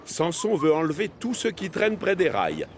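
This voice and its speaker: An actor as the male storyteller of a children's cartoon, narrating in an expressive storybook style. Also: on the high side, moderate pace, background noise. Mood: storytelling.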